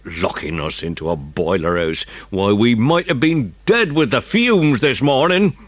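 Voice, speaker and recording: Pompous village cop for a kids audiobook, strained high voice, blustery, swoops about. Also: male voice, expressive, a little slow, dry recording. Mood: cross, blustering. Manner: blustering, shouting, grumbling. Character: pompous bullying village policeman, furious and overheated.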